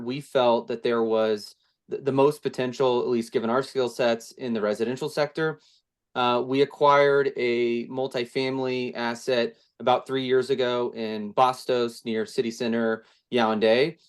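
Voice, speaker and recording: A man on a video call, co-founder of a real estate firm, answering interview questions in a relaxed way with many restarts and fillers. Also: mid-range voice, normal speed.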